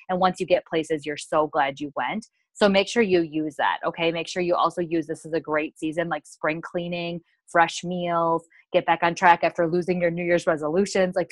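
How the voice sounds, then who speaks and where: A woman's voice: fairly low pitch, dry recording. An American woman leading a casual team coaching call in a peppy, chatty, rambling way with frequent asides. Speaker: sales team leader hosting a coaching call.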